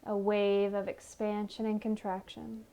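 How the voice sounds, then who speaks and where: A woman leading a guided meditation in a soothing, gentle manner, offering unhurried invitations. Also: mid-range voice, little pitch movement. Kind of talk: guided meditation, body scan narration.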